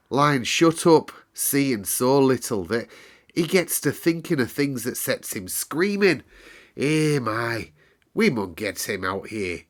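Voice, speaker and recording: A man's voice: mid-range voice, pitched above the performer's own voice, lightly acted. Man voicing a cheerful Yorkshire country boy. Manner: broad dialect, warm exclamations, unhurried wonder. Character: cheerful, nature-loving Yorkshire country boy, kindly and eager.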